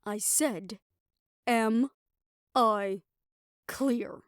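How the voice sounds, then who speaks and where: A woman's voice: a little high, drawn-out words, no echo, clean studio sound. Woman acting an exasperated, stern, protective guardian woman. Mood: stern, commanding. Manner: stern, commanding, emphatic. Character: exasperated, protective woman scolding her six reckless young charges. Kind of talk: scripted audio roleplay drama acting.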